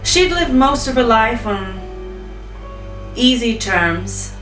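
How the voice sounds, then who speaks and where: A woman's voice: a little high, lively intonation, takes it slowly, fairly bright tone. A woman casually making up and telling a story, chatty and thinking aloud. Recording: roomy echo from a distance, faint music.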